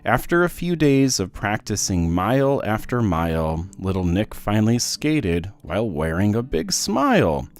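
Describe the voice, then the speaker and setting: A man reading a children's story aloud as narrator, in an expressive, deliberate manner. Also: lowish voice. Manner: animated, deliberate rhyming delivery for children. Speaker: children's book read-aloud host.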